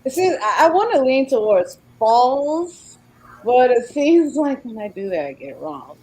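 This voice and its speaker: Animated, high-pitched female quiz contestant. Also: measured pace, rough recording. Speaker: game show contestant. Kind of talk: casual chat.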